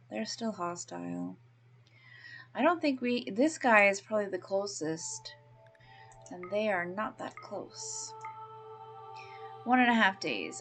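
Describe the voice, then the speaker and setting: A woman's voice: medium pitch, fairly bright tone. A middle-aged woman from Canada, a native English speaker, casually thinking aloud as she plays a game and narrates it. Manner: chatty, thinks aloud, coos at baby animals. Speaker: let's play host. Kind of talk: casual gameplay commentary.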